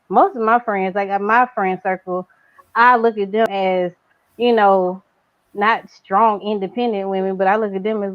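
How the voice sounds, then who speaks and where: A woman chatting casually, her sentences running on and looping through fillers. Her naturally quiet voice is pushed a little louder than usual. The sound is dull and lo-fi with a dry, close feel. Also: mid-range voice, no room sound. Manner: talks in long run-on stretches with many fillers; says her natural voice is very quiet but trains herself to speak louder. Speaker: guest. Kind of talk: casual chat.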